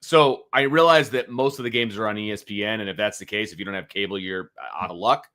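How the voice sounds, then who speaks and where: A man's voice: on the low side, fast talker, a bit bright. A middle-aged man giving casual, opinionated sports talk as a co-host, emphatically repeating his points. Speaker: sports show co-host, analyst.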